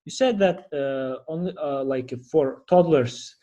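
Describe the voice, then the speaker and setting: A young man with a Kazakh accent speaks in a fairly high male voice. He hosts a casual podcast interview, halting and searching for his words as he goes. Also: warm tone. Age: young adult. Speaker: podcast host.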